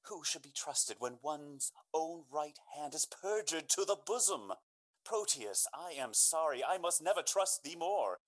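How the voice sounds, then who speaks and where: An actor playing a noble, wounded young gentleman in a Shakespeare radio drama, declaiming his verse theatrically. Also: on the high side, lively intonation, unhurried. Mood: bitterly grieved.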